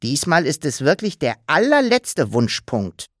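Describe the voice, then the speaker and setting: Guy doing a mischievous little kid creature, squeaky and teasing, storybook style. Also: sing-song, measured pace, no room sound, studio quality. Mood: cheeky. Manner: bouncy, rhyming, teasing. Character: cheeky childlike creature, playful and mischievous. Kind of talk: audiobook character voice.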